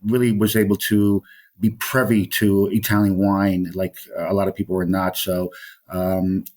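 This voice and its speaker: A man's voice: low voice, little pitch movement, moderate pace, a little mellow. A middle-aged man from Colombia, a wine specialist being interviewed, talking in a rambling way with many fillers and restarts. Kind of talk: interview conversation.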